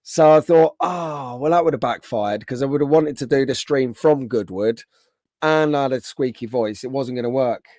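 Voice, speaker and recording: A man hosting a casual live stream, greeting viewers by name, his voice slightly hoarse from being under the weather. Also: mid-range voice, moderate pace, darkish tone, no room sound, studio quality.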